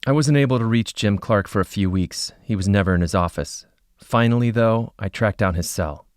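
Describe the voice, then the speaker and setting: An actor playing an earnest, troubled paranormal investigator who narrates a scripted podcast in a low-key, conversational way. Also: male voice, normal speed, smooth, dry recording, studio quality, lightly acted. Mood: somber, matter-of-fact. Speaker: host and narrator.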